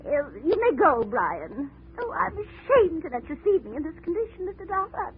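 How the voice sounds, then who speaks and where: A woman's voice: extremely high pitch, big pitch swings, moderate pace, slight background noise. An actress playing a wealthy widow who sobs and wails genteelly in a 1940s radio drama, crying through her words. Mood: weepy indignant. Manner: sobbing, wailing, genteel. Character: wealthy tearful middle-aged widow, hysterical. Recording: tinny old 1940s radio recording.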